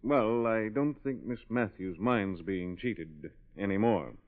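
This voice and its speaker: An actor playing a proper, guarded department store manager in a 1940s radio drama, speaking formally with slight hesitation. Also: male voice, lowish voice, takes it slowly. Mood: dry, formal. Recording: tinny, band-limited old radio recording.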